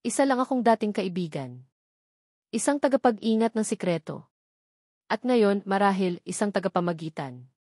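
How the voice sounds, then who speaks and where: A woman narrator plays a gentle, secretive go-between in an audio love drama. She is soft-spoken and earnest, at a middling pitch. The sound is dry and plain. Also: animated, normal speed, pauses often, no room sound, lightly acted. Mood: sad, gentle.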